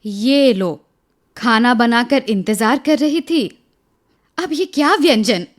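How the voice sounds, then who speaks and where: Casting: defiant young village sister-in-law, radio drama, very high voice, slow delivery, soft music behind. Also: female voice, lively intonation. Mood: weary, obliging. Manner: curt, defiant retorts. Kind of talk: Hindi radio drama acting.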